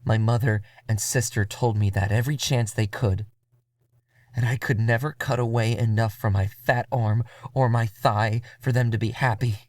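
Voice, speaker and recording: A man voicing a bitter, devoted woman vampire in a measured, confessional audio drama monologue. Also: mid-range voice, steady tone, darkish tone, no room sound, lightly acted. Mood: bitter, brooding. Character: bitter, devoted Middle Eastern American woman vampire, quietly menacing. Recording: music behind the voice.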